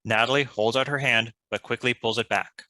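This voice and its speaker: A man's voice: mid-range voice, steady tone, fairly quick, sharp tone, dry recording, rough recording. A man reading scripted stage directions as the narrator.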